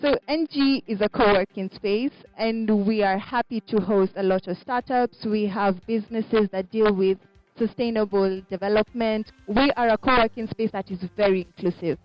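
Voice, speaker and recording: A woman's voice: medium pitch, normal speed, dry recording, faint music behind. A woman opening an event formally and introducing the moderator. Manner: formal, announcing. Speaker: event host. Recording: dry lo-fi event audio.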